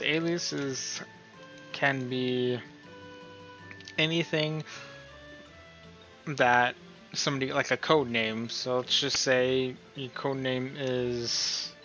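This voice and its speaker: A man's voice: medium pitch, fairly even intonation, slow, bright, forceful tone. A man giving a casual, unscripted tutorial in a rambling, hesitant, self-deprecating way. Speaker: tutorial host. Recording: dull lo-fi mic, music behind. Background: music.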